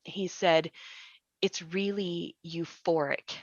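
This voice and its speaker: A woman with a low voice talks reflectively and explains things emphatically. The sound is close and dry but a little dull and lo-fi. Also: steady tone, takes it slowly. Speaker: actor and podcast panelist. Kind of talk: reflective discussion. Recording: muffled, roomy lo-fi call sound.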